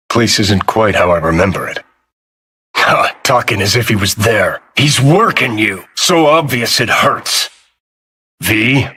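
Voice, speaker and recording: A man voicing a cynical, abrasive rebel in a video game, with a gritty rasp and a sardonic, commanding way of talking. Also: fairly low pitch, bright, forceful tone, exaggerated voice. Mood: sardonic, warning. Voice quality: raspy, gritty.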